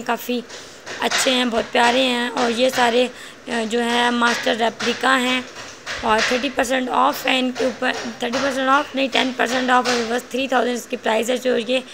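A woman narrates a shop walkthrough, praising each item as she goes. Her voice sits fairly high, with a gravelly edge and little rise and fall. She records on a phone in a shop, with faint noise behind her. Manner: chatty, praising, listing prices. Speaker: vlogger showing clothes at a shop. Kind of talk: casual shopping vlog narration. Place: indoor clothing shop.

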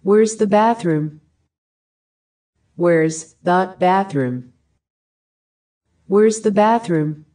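Slow, careful woman reading drill phrases. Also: medium pitch. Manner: even, careful enunciation of short phrases. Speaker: language lesson reader.

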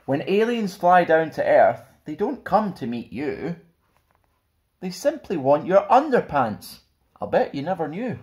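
Animated young man hosting children's storytime. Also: a little high, a little slow. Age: young adult. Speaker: storytime host reading a picture book. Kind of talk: expressive children's read-aloud with friendly presenting. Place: small plain indoor room.

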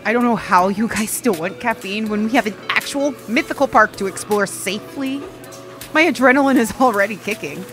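A woman plays a friendly, eager young nature lover in an audio drama. Her voice is mid-pitched, with faint music behind. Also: fairly quick, lightly acted. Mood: eager, teasing.

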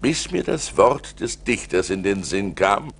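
A wealthy old-world seafarer telling his story in a radio play. His low voice is dignified and deliberate, swinging very widely in pitch as he narrates. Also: background noise. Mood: reflective. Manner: deliberate, expressive.

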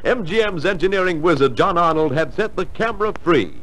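A man's voice: high voice, expressive, fairly quick, fairly bright tone, a little hiss or hum. A man narrating and announcing a scripted old-time radio drama.

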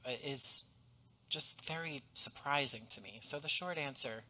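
A man in his thirties, from the US East Coast, being interviewed over a video call about the audio drama he created, speaking in a thoughtful, earnest, measured way into a headset mic. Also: on the high side, sharp tone, rough recording. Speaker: podcast creator and guest. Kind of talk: reflective conversational interview. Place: home room, on a video call. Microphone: headset boom mic.

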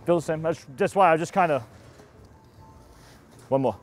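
A man's voice: fairly high pitch, normal speed. A man with a Massachusetts accent coaching baseball catching drills in casual, encouraging, slangy bursts. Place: outdoor batting cage and bullpen.

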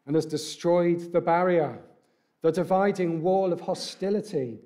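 A man, preaching a sermon at a lectern mic in a church hall, a little croaky from a cough, talking conversationally with rhetorical questions. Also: a little high, warm tone. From England. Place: large church hall with brick wall, indoors. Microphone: stand mic at a lectern.

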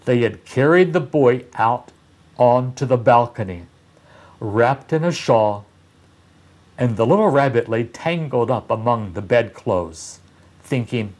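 A man in his sixties reading a children's story aloud like a teacher, expressively, with deliberate dramatic pauses. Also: medium pitch, darkish tone.

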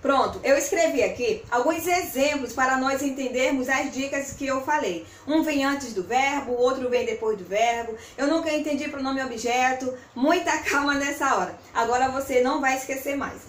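High-voiced young Brazilian woman teaching. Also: moderate pace, few pauses. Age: young adult. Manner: chatty, encouraging, animated with tag questions. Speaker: English teacher and video host. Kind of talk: informal whiteboard lesson to camera. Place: small bare-walled room indoors with whiteboard. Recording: echoey room, distant mic, faint hiss.

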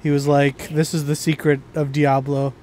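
A man's voice: a little high, flat delivery, moderate pace, a little mellow, slight background noise. A man talking casually with friends while playing a video game. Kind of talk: casual gameplay chat.

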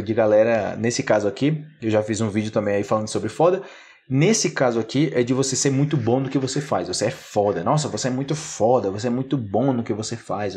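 A man's voice: medium pitch, a little hiss or hum. A man in his thirties teaching Portuguese to camera in a small room, explaining words casually, checking in often with "né?" and "ok?". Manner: lively, gestures a lot, frequent "né?" and "ok?" check-ins. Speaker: Portuguese teacher, channel host. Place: small indoor room, plain wall. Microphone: close camera framing, upper body.

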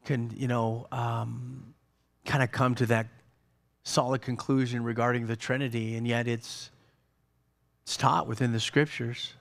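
A middle-aged man preaching a sermon on a church stage, animated, with many repeated words and restarts. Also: a little high. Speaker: pastor.

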